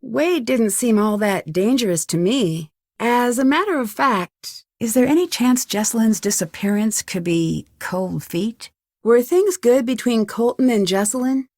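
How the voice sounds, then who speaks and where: A young American woman voicing an earnest, curious and polite amateur detective in an adventure game, with clearly enunciated lines. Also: fairly high pitch, expressive, unhurried, darkish tone, no echo, studio quality. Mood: earnest questioning. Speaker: voice actor for a game's detective heroine. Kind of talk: scripted adventure game dialogue.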